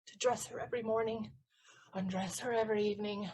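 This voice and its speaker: A woman's voice: mid-range voice, steady tone, fast, a little mellow, a little hiss or hum, natural voice. A woman playing a lovesick, impetuous teenage page boy in a theatrical period comedy, speaking ardently and insistently in florid lines. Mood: yearning.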